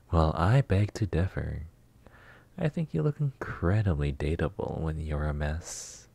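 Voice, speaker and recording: Man playing a husky, slow-talking flirty neighbor. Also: very deep voice, expressive, dark tone, heavily acted. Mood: flirty, teasing. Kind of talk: intimate roleplay ASMR.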